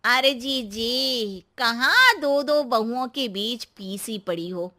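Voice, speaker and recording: Casting: actress as sly, sulky troublemaking aunt for a moral story; bright piercing tone, unhurried taunting delivery. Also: female voice, on the high side, animated, exaggerated voice. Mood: gloating. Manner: taunting, exaggerated drawn-out sympathy. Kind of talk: Hindi moral story voice acting.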